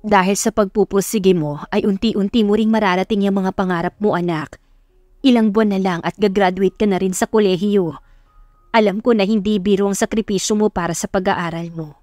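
A woman's voice: mid-range voice, fairly even intonation, brisk, darkish tone, no echo, natural voice. A loving middle-aged mother voiced by a female narrator in an audio love story. She is tender and sentimental with her child. The sound is dry, with faint music behind. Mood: tender, proud.

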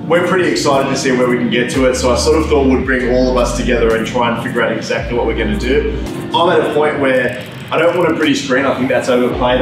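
An Australian man in his thirties hosting a casual, profane vlog in a paint workshop, laid-back and upbeat. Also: mid-range voice. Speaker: vlog host. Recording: echoey workshop with loud music.

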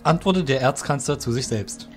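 A man's voice: mid-range voice, a little fast, faint music behind. A man narrating a scripted fantasy audio drama as its storyteller.

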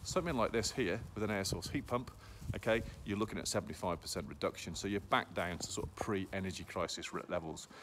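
A man's voice: low-pitched, fast, few pauses, a bit bright, a little hiss or hum. A man, a hot tub dealer chatting casually to a phone held at arm's length outdoors, full of ums and okays. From England. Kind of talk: casual selfie sales vlog. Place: outdoors at a showroom yard in snow.

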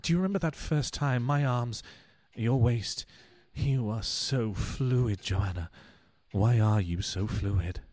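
A man's voice: medium pitch, slow, darkish tone, natural voice. A man playing a pompous, self-pitying philandering husband in a radio play, speaking floridly. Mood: lovelorn, self-pitying. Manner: earnest, florid, grandiose. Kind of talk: stage-style radio play acting.

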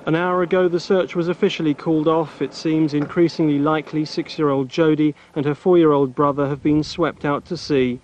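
A man's voice: fairly high pitch, steady tone, a little fast, hardly any pauses, a little mellow. A man narrating a scripted television news report. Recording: dull VHS sound with background noise.

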